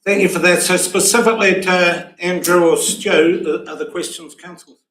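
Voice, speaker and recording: A man's voice: sits high, steady tone, normal speed, a bit bright, reverberant, low-quality recording. A man chairing a council meeting, firm and orderly, directing questions to the room. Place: council chamber.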